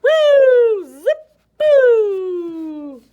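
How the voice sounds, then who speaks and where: A woman voicing an eager, restless, defiant young male python in an excitable, emphatic storybook manner. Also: extremely high pitch, very slow, muffled recording, voice pushed up, heavily acted. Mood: exhilarated.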